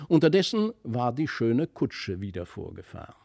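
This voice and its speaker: A man's voice: mid-range voice, normal speed, vocal fry, no echo. Male storyteller, very dry close recording. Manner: animated storyteller who voices the characters. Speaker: audiobook narrator. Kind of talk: expressive scripted literary narration of a fairy tale.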